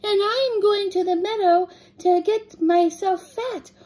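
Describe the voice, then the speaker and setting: A woman's voice: slow, dark tone, poor audio. A woman voicing the tiniest young billy goat in a storybook, with a very small, timid, pleading voice. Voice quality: very small, high, thin voice.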